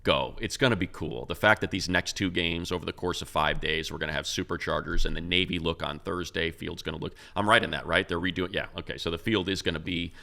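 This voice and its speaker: A man's voice: deep voice, fairly even intonation, fast, vocal fry, few pauses, sharp tone. An American man talking football casually as a co-host, giving his opinions with emphasis. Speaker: sports radio announcer and podcast co-host. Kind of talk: casual football analysis chat.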